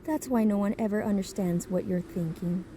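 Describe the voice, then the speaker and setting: A woman's voice: medium pitch, moderate pace, mellow, a little hiss or hum, natural voice. A woman voicing a firm, protective older sister from a tribe, insistent and scolding, in a manga dub. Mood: firm, reproachful.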